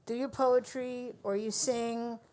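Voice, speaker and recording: A woman's voice: on the high side, fairly even intonation, slow pace, a little mellow. A woman hosting a coffee shop open mic, chatting loosely and joking with the room. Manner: joking, loose, laughs easily. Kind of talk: casual emcee banter.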